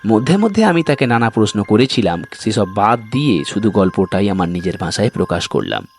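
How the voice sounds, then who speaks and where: Low-voiced man narrating over music. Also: very animated, fairly quick, a little mellow. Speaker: story reader and host. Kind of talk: scripted narration, audio storytelling.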